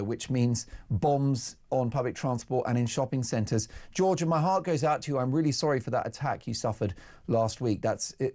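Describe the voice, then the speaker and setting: A man's voice: medium pitch, mellow. A man hosting a talk radio phone-in, taking calls and putting leading questions to callers. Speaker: radio host.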